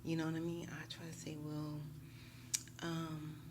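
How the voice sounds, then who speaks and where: An American woman talking casually and emphatically to her viewers in a slangy, animated storytime style, often checking in with them. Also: sits low, unhurried, noisy background. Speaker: YouTube tarot reader.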